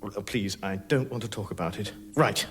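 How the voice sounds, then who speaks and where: A man's voice: lowish voice, moderate pace, fairly bright tone, slight background noise, close to an everyday voice. A man with a British accent playing a bewildered, exasperated ordinary Englishman in a radio comedy, fussy and sardonic. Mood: flustered, exasperated. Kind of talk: radio comedy drama acting.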